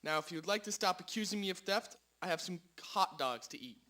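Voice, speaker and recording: A man's voice: sits high, expressive, a little fast, bright, forceful tone. A man playing a nervous, easily offended fat glutton in a radio drama, with stammering, defensive delivery. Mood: indignant. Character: nervous, indignant fat man, gluttonous murder-mystery suspect. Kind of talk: amateur school radio drama acting.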